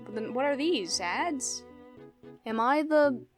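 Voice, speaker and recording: A woman's voice: sits high, expressive, slow pace, over music. A woman in her twenties chatting casually as a YouTuber in a reaction vlog. She laughs often, rambles and pokes fun at herself. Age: 20s. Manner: giggly, rambling, self-deprecating.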